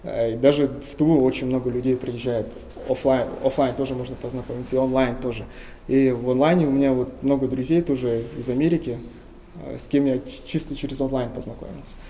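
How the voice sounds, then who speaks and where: A young man from Tuva in Russia, answering interview questions earnestly and often pausing with hesitation sounds. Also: medium pitch, steady tone, mellow, a little hiss or hum, rough recording. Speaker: interview guest.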